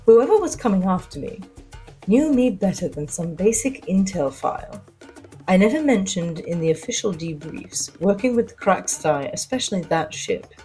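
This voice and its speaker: A woman playing a witty, self-assured spy and socialite, narrating her own adventure with arch, wry, theatrical pauses. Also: on the low side, darkish tone. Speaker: narrator of an audio drama. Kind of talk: first-person scripted dramatic narration.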